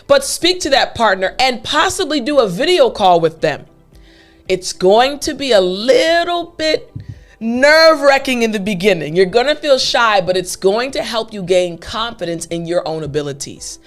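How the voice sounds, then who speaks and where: A woman teaching an English lesson, speaking straight to her learners in an upbeat, emphatic way with deliberate enunciation. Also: a little high, lively intonation, faint music behind. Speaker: English teacher with an online academy. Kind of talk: upbeat scripted lesson talking to viewers.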